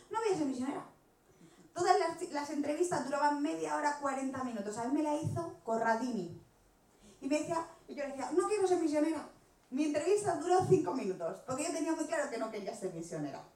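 A woman in her forties from Spain, with an accent from Spain, giving an animated personal testimony as a missionary speaking to a church gathering. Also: high-pitched. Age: 40s. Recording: echoey room, distant microphone.

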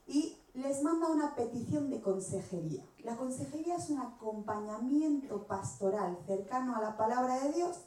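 A woman in her forties from Spain, with an accent from Spain, giving an animated personal testimony as a missionary speaking to a church gathering. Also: sits high. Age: 40s. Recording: echoey room, distant microphone.

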